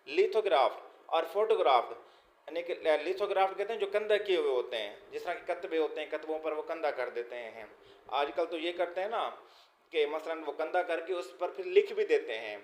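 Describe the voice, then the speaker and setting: A man with a Pakistani accent giving an exam lesson as a law lecturer, reading items out and then explaining them by repeating and rephrasing. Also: fairly high pitch. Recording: echoey, roomy sound.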